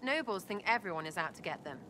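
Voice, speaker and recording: A cheeky young female elf from a video game with a bright, forceful voice. Her British accent is slangy and irreverent. There is faint ambience behind her. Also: on the low side, lively intonation, fast talker, a little hiss or hum. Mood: earnest, scheming. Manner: breezy, slangy, impish. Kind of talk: video game dialogue acting.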